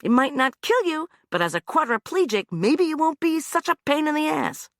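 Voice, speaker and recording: A woman's voice: sits high, wildly swooping pitch, moderate pace, a bit bright, no echo, studio quality, voice pushed up, heavily acted. A woman narrator voicing a tough, angry woman who swears and threatens a man she has pinned down. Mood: threatening.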